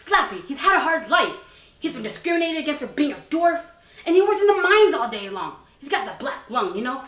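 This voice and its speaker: A woman performing gruff, crude comic characters in a student fairy-tale comedy. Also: high-pitched, big pitch swings, brisk, a bit bright. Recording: roomy, distant mic.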